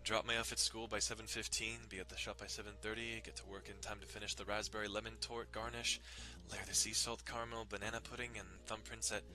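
Guy narrating a visual novel dramatically, lowish crisp forceful voice, soft music behind. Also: flat delivery, fast talker, few pauses. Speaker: dramatic reader.

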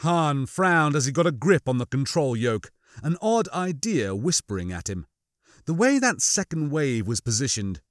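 A man's voice: medium pitch, sing-song, moderate pace, no room sound, studio quality. A man giving a dramatic scripted narration of a science fiction audiobook.

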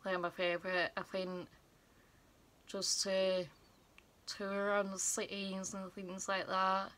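Slow, chatty young woman. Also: mid-range voice. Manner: slow, relaxed and chatty, with many fillers. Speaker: vlogger. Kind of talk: casual chat to camera. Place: small bedroom indoors.

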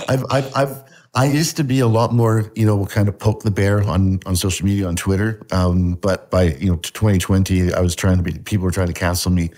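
A man's voice: fairly low pitch, dark tone. A man in his fifties from Canada, with a Canadian accent tinged with Scottish, chatting casually on a video call, rambling with lots of fillers and restarts. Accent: Canadian with a slight Scottish tinge. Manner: rambling, many fillers and restarts, laughs often. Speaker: guest artist. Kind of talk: casual interview chat. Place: home room at a desk. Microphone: video call webcam.

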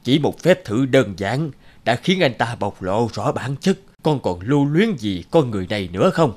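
A man voicing a calm, dignified middle-aged father in a cartoon, humble and unhurried. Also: mid-range voice, soft background music. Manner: measured, unhurried. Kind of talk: cartoon voice acting.

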